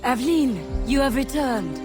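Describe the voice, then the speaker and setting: A woman's voice: high voice, expressive, unhurried, over music. A woman playing a loving, weary middle-aged mother living in fear, acted earnestly for a video game. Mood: tender, solemn. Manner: solemn, earnest. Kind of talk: video game cutscene acting.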